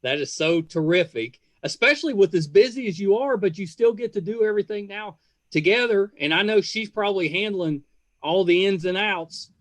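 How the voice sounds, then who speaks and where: A man hosting a casual podcast interview, speaking in a halting, rambling and effusive way. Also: high voice, no echo.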